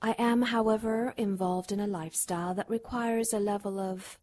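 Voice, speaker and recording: Husky, regal femme fatale, acted by a woman. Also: mid-range voice, fairly even intonation, a little mellow, lightly acted. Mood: cool, guarded. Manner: formal, measured, commanding. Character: mysterious, self-assured seductive female client, regal and discreet. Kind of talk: noir radio drama acting.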